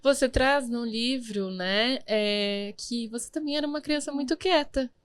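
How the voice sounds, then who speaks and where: A woman's voice: on the high side, measured pace. Attentive woman interviewer. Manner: attentive, encouraging, many short affirmations. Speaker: interviewer, host. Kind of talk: interview conversation.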